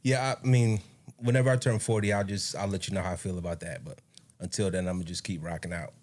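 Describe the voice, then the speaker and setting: A man's voice: low-pitched, steady tone, quick pace, a little mellow. Laid-back slangy man in his late thirties. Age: 30s. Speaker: podcast co-host. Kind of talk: casual chat with his wife.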